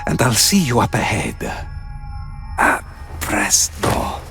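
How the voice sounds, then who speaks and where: An actor playing a gallant, weary jester, courtly and theatrical, in a video game. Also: male voice, on the low side, big pitch swings, slow, vocal fry, over music, exaggerated voice. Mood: weary gallantry. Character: gallant, weary trickster jester gambler, protective. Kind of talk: dramatic video game acting.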